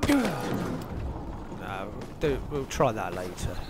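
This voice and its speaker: A man's voice: lowish voice, animated, slow pace, very noisy. A man giving casual gameplay commentary, muttering and thinking aloud as he plays. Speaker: gamer narrating own gameplay. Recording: game music loud behind voice. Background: video game music and sound effects.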